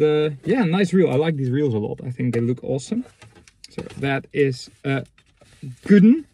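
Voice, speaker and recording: A man in his thirties chatting casually and unscripted in a halting, matter-of-fact way as he thinks aloud, recorded inside a parked car. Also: mid-range voice, dark tone. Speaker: vlogger reviewing secondhand reel-to-reel tapes. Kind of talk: casual unscripted chat, commenting on items as he handles them.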